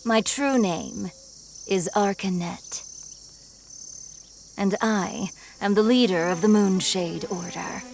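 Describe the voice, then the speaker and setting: Slow, creaky-voiced sinister cult leader. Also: female voice, mid-range voice, a little mellow, slight background noise. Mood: mocking. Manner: slow, taunting, archaic, ominous. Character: ageless cult leader woman posing as young, mocking, sinister. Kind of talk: dramatic video game voice acting.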